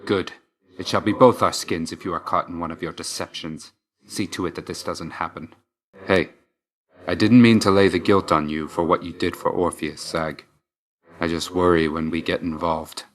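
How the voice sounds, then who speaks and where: A man's voice: low voice, fairly bright tone. A man voicing a stern, brooding young god of death in a video game, speaking in a curt, restrained, solemn way with dry understatement. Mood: quiet, guarded. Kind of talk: scripted video game voice lines.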